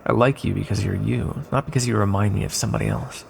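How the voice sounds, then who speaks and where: Ancient sealed-away villain, male actor, low and very gravelly, tender and wistful, intimate fantasy audio roleplay. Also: exaggerated voice. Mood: bittersweet, affectionate. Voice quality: low, heavily creaky voice. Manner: soft, deliberate, tender, with pauses.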